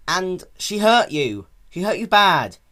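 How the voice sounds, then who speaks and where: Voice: mid-range voice, very animated, slow pace, fairly bright tone, voice pushed up. A performer voicing a young boy baby dragon in a cartoon-based story reading, anxious, protective and pleading, with a stammer. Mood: upset, scared.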